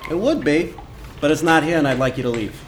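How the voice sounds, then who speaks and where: A man's voice: on the high side, brisk, a little hiss or hum, close to an everyday voice. Man playing a grumpy, surly dockside guy. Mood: grudging, irritated. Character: grumpy bitter man guarding the docks, gruffly resentful. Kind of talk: noir radio drama acting.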